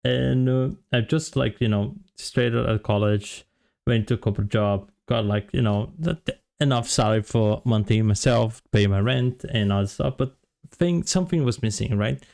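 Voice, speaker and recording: A man's voice: mid-range voice, moderate pace, vocal fry, darkish tone. A man in his thirties from Brazil, with a Brazilian Portuguese accent, casually telling his story to camera with many fillers and restarts. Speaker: vlogger, software developer.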